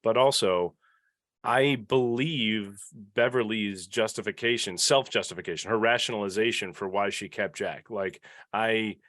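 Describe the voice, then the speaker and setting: A man with a fairly low voice leads a casual podcast discussion. He states opinions emphatically, and the sound is dry with very little room to it. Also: a little slow, a bit bright, dry recording. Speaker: podcast panel host.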